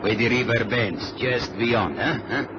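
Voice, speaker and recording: A man's voice: medium pitch, a little slow, lightly acted. A man playing a polite but menacing hijacker in a radio drama. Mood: cool, commanding. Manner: courteous, coolly threatening. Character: polite, menacing Latin male hijacker with a gun. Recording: noisy, dull vintage radio recording.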